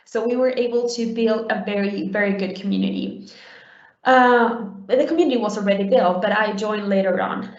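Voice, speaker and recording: A woman's voice: mid-range voice, moderate pace. A young adult woman from Uruguay giving a conversational conference talk, with many fillers and restarts. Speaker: conference speaker. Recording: roomy, dull lo-fi sound.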